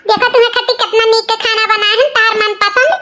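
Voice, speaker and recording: Doting, high-voiced village mother. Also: little pitch movement, quick pace, pushed and bright. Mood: coaxing. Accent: Awadhi. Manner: coaxing, fussing. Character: doting, patient young foster mother, elder daughter-in-law. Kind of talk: comic cartoon dialogue.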